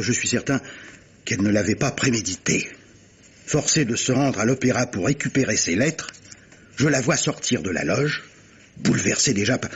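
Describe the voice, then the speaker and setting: An actor playing a grave gentleman captain in a radio mystery, speaking in a measured, insistent, formal way, in a 1950s French style. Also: on the low side, slight background noise. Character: grave gentleman captain pressing a guilty woman with hypotheticals. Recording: old radio drama recording.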